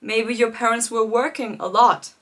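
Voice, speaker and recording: A woman coaching viewers in a live-streamed talk, speaking gently and reflectively, addressing them directly and laughing lightly between her points. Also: a little high, steady tone, normal speed. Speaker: life coach hosting a live challenge.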